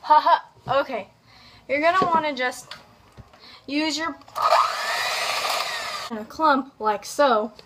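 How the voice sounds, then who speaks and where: A young woman with a high voice walks through a task in a goofy, casual tutorial style. She takes her time, stretching words and dropping in playful asides. Faint kitchen noise sits behind her, picked up by a camera about an arm's length away. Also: slow, long pauses. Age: young adult. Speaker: host of a home cooking video. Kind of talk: casual comedic cooking tutorial. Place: home kitchen, indoors. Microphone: camera on counter at about arm's length. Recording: background kitchen noise, clicks.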